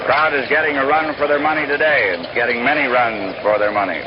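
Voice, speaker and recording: An American man calling baseball play-by-play in a 1930s-40s radio style, with a folksy, wry, unhurried way of describing the action. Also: medium pitch, fairly even intonation. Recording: noisy, dull, scratchy old radio broadcast.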